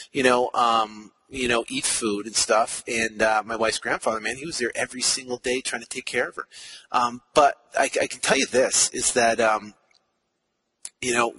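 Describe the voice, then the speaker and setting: Husky, bright-voiced rambling man in his forties. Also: medium pitch, rough recording. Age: 40s. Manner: rambling, heavy on fillers and restarts. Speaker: podcast host. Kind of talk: casual monologue. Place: car.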